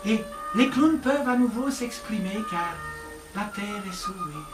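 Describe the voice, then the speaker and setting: A naive, grandiloquent stage clown, played by a man, declaims in a very high, theatrical voice. The sound is roomy and comes from far off in a theatre, with music clearly playing under it. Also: expressive, unhurried. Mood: poetic. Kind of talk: live stage comedy act.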